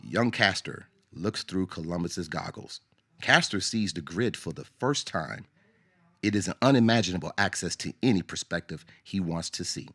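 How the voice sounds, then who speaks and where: A man narrating a scripted radio drama as a storyteller, in a measured, dramatic way. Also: deep voice, lively intonation, sharp tone, breathy edge, dry recording.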